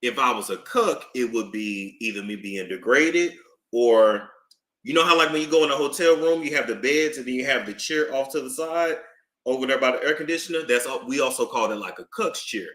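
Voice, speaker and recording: A young man streaming casual commentary while he reads court documents aloud, swinging between loud outbursts and low muttering. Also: brisk. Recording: echoey room.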